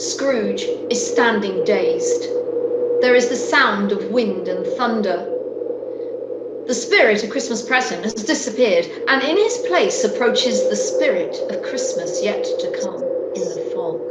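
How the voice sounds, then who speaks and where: A measured storyteller played by a woman narrates a ghostly radio play. The female voice takes its time over scripted lines, in a roomy space with heavy noise throughout. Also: medium pitch, expressive, natural voice. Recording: very noisy, roomy video call audio.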